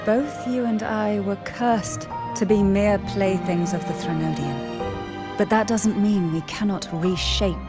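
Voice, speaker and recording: A cursed young warrior maiden from a video game performed by a woman speaks with grave, gentle resolve. Her delivery is slow, measured and formal, at a middling pitch for a woman. Loud music surges behind her. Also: close to an everyday voice. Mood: resolute.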